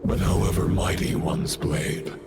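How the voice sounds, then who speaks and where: An actor playing a grandiose, vengeful fallen knight who serves a dark power, declaiming theatrically in a video game. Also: male voice, low voice, little pitch movement, a little slow. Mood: bitter, solemn. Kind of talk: dramatic video game voice acting. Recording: music behind the voice. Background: music.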